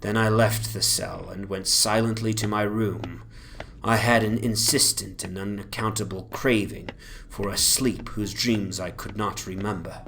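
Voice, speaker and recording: A man giving a dramatic, grave and formal narration of a gothic horror story in measured phrases. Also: on the low side, fairly even intonation, gravelly, a bit bright, a little hiss or hum.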